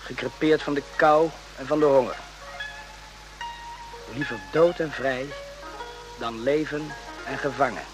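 An actor playing a calm, reflective companion in a radio play, talking in a measured, plain and soothing way. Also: male voice, mid-range voice, over music, lightly acted. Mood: reflective, earnest. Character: calm, reflective male companion, gently philosophical storyteller. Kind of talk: Dutch radio play acting. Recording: slightly noisy old radio recording.

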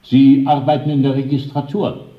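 An actor playing a courteous, calculating senior party official, speaking formally and deliberately in a radio drama. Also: male voice, mid-range voice, level tone, close to an everyday voice. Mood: smoothly courteous. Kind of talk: German radio drama acting. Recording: dull, roomy old radio drama recording.

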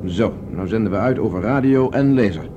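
An actor playing a self-assured, impatient space pilot in a Dutch radio drama, brisk and commanding as he explains things. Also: fairly low pitch, animated, highs cut off, background noise, close to an everyday voice. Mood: calm, reassuring.

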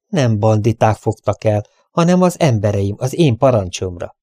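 A man voicing a domineering, angry western bandit boss with a gruff, bullying, deceitful manner. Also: lowish voice, animated, mellow, no room sound, studio quality.

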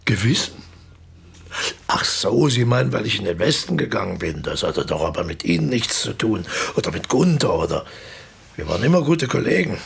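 An actor playing an evasive, talkative older former stage actor in a radio play, hesitant and theatrical, with a husky voice. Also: male voice, fairly low pitch, sing-song, moderate pace, exaggerated voice. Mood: defensive, jovial. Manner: hesitant, self-correcting, theatrical. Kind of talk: German radio drama dialogue.

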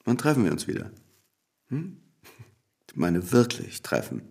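Gravelly, husky, insistent male lawyer. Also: mid-range voice, very animated, a little slow, a little mellow, full character voice. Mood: teasing, insistent. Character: wry, impatient lawyer courting a married woman. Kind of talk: German radio drama acting.

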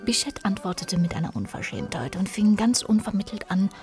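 A woman's voice: medium pitch, very animated, fairly quick, darkish tone, soft background music. A woman narrating a radio play in scripted literary prose.

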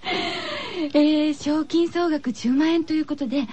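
High-voiced, cutesy female radio host. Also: sing-song, slow, darkish tone, dull audio. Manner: cutesy, playful phrasing. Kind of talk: radio presenting, chatty and scripted. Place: radio studio.

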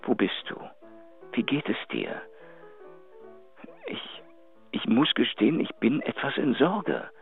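An actor in a radio drama playing a remorseful, anxious lover who stammers and pleads into an answering machine over a phone line. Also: male voice, mid-range voice, big pitch swings, slow, creaky, long pauses, breathy edge, exaggerated voice. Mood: worried, pleading. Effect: telephone answering-machine filter. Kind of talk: German radio drama acting. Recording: tinny phone line, faint music.

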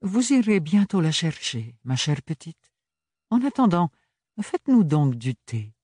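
A man's voice: a little high, very animated, measured pace, darkish tone, slightly rough, no room sound, clean studio sound, pitched above the performer's own voice, full character voice. A man voicing Bérénilde, a weary, silky noblewoman. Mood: languid. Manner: tired, honeyed, mocking. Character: weary elegant noblewoman, sly and languid.

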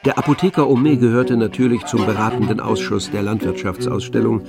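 A man narrating a literary radio drama in a measured, precise storytelling manner. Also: on the low side, fairly even intonation, vocal fry. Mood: composed. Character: composed male literary narrator of a novel. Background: faint music with strings.

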